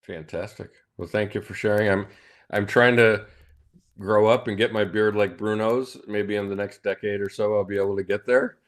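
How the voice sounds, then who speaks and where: A man's voice: lowish voice, fairly even intonation, moderate pace. A man hosting a video call meetup, upbeat and encouraging in casual chat. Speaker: host.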